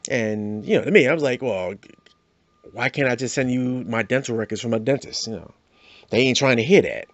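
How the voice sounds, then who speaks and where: A man's voice: medium pitch, fairly quick, no echo. Middle-aged man telling jokes, lo-fi, dry. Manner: storytelling with character voices and frequent "you know". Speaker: comedian host. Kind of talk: stand-up comedy monologue.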